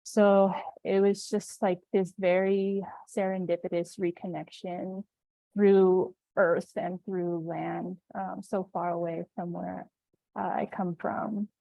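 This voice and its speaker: A woman from Kuwait presenting on a video call, speaking softly and reflectively with many fillers. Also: on the low side, flat delivery, slow pace, gravelly, dry recording. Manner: soft-spoken, reflective, with frequent fillers. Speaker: invited speaker. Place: home in New Mexico. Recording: dry, phone-like video call audio.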